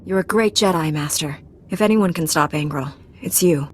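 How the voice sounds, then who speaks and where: An actress playing a calm, earnest, determined heroine knight in a video game. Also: female voice, low-pitched, moderate pace, breathy edge. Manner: composed, resolute. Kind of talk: video game cutscene acting.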